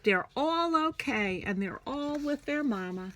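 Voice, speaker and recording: A woman with a bright, fairly high voice reads a picture book to small children. She takes her time and speaks in a theatrical singsong with very wide swings in pitch, sometimes sinking into a hush. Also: lively intonation, measured pace, slight background noise. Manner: theatrical, singsong, drops into hushed whispers. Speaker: child care teacher reading to young children. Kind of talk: animated picture-book read-aloud for young children.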